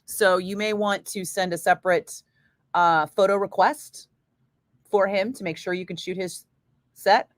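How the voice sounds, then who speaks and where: A middle-aged woman radio DJ chatting casually with viewers between breaks, profane and quick to laugh. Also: medium pitch, steady tone, no echo, studio quality. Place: radio studio.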